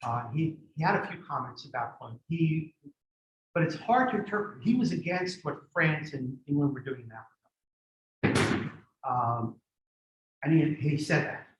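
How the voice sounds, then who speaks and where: Greek-born guy lecturing, slightly high voice, echoey room, kinda muffled lo-fi sound. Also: lively intonation, a little slow, long pauses, slight background noise. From Greece. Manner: thoughtful, digressive, with frequent restarts. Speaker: guest lecturer on history. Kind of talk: lecture and audience Q&A. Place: community center lecture room.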